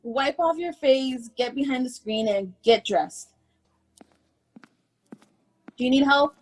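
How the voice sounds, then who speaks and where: An actress playing a genteel, practical aunt in a radio drama, giving brisk, motherly commands. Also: sits high, lively intonation, darkish tone. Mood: fond, bustling. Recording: clear background noise with clicks.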